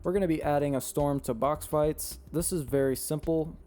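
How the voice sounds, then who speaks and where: A young man narrates a game-building tutorial, his voice a little hoarse as if he's losing it. The tone is mellow and dark, the delivery steady and instructional as he reads settings one after another. Faint music plays quietly behind him. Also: a little high, normal speed. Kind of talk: step-by-step tutorial voice-over.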